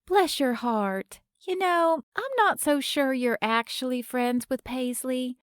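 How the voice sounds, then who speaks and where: A woman's voice: sits high, slow, dry recording, studio quality, pitched above the performer's own voice. A woman voicing a kindly, no-nonsense older Southern woman with a Southern accent. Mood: firm. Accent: American Southern.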